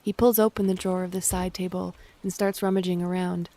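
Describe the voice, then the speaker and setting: A woman's voice: lowish voice, flat delivery, a little fast, dark tone. A woman reading scripted stage directions as the narrator of an audio drama.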